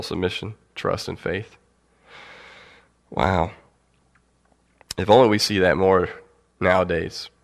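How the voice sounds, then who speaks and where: A young man with a cold giving a casual, halting Bible teaching to his church, with fillers and light laughs. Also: low voice, gravelly, fairly bright tone. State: has a cold.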